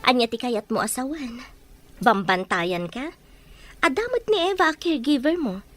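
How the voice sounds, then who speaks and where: A woman's voice: sits high, animated, normal speed, a bit bright. An actress playing an irritated, defiant woman who scolds indignantly in a radio drama. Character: irritated, defiant woman snapping at the man she lives with.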